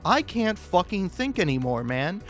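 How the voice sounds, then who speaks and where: A man with a slightly high voice rambles through a casual comic monologue over faint music. He swears freely and trips over his words, repeating bits and breaking off mid-sentence to start again. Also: very animated, fairly bright tone. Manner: profane, halting, self-interrupting with stammered repeats; chuckles often while talking. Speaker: host of a comedic video.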